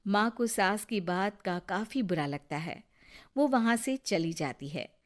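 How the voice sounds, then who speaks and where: A woman's voice: medium pitch, measured pace. A woman narrating a scripted moral story as the storyteller.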